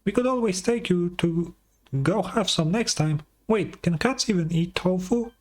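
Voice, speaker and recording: A man's voice: sits high, a little slow, darkish tone, pitched above the performer's own voice. A man voicing a small, chatty, childlike female fairy companion from a video game, exclamatory and inquisitive. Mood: curious, amazed. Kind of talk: video game dialogue read aloud.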